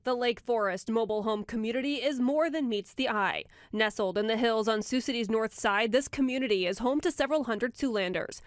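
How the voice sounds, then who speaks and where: A woman's voice: a little high, fairly quick, hardly any pauses, dry recording, studio quality. A woman working as a television news reporter, reading scripted narration.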